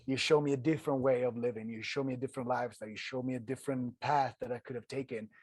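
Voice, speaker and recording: A man from Italy with an Italian accent, telling his story as a podcast guest in an earnest, halting way full of restarts. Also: medium pitch, fairly even intonation, a little mellow, no echo. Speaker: guest, ultrarunner. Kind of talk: casual interview storytelling.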